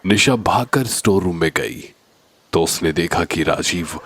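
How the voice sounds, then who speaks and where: A man narrating a scripted horror story in a deliberate, suspenseful manner. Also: sits low, expressive, a bit bright, husky, dry recording.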